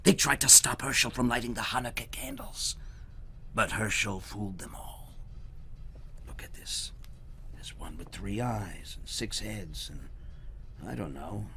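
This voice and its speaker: A male storyteller with a lowish voice reads to children in a dramatic manner. His delivery is unhurried and very animated, with faint noise in the background. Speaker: storyteller reading aloud.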